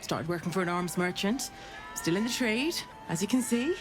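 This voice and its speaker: A woman playing a warm-hearted, teasing female arms trader in a video game, hearty and familiar. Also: mid-range voice, animated, moderate pace, close to an everyday voice. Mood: delighted surprise. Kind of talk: dramatic video game acting. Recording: music behind the voice.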